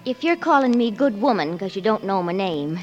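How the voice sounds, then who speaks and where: An actress playing a feisty, proud frontier cook, teasing and indignant, in a 1940s radio drama. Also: female voice, mid-range voice, big pitch swings, brisk, lo-fi sound, music in the background, lightly acted. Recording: 1940s radio broadcast recording.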